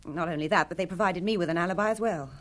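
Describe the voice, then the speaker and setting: A woman's voice: lowish voice, racing, close to an everyday voice. A woman playing a retired film star in a radio drama, imperious and defensive, with formal, troubled delivery. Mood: confessional, troubled.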